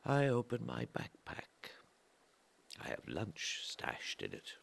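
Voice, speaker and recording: A man reading an atmospheric literary story in a measured way, pausing between short phrases, with a gravelly voice that often turns breathy and trails off. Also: medium pitch, wildly swooping pitch. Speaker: reader of a literary audio story. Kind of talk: slow atmospheric literary narration.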